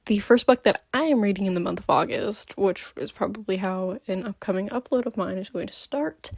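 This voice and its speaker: A woman's voice: medium pitch, brisk, gravelly, darkish tone, husky, dry recording. Sleepy-voiced woman rambling about books she loved, lots of ums, dead dry room. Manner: gushing, filler-heavy, many ums. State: just woke up. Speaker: book vlogger. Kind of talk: casual book review chat.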